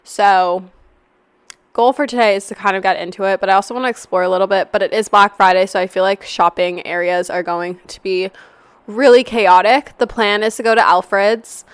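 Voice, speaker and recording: Young female podcast host, hoarse and raspy, loose rambling chat, smooth tone under the rasp. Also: medium pitch, steady tone, fairly quick, dry recording. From Canada. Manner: chatty and rambling, lots of "like". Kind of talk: casual solo podcast chat. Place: apartment, not her usual studio.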